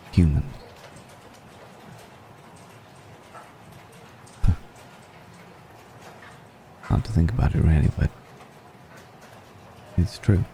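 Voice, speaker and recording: Man playing a very deep, gravelly vampire noble. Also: dark tone, a little hiss or hum, heavily acted. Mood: wistful. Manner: slow, deliberate, formal wording with long pauses. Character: ancient, suave, seductive male vampire noble, affectionately amused. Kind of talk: intimate ASMR roleplay monologue.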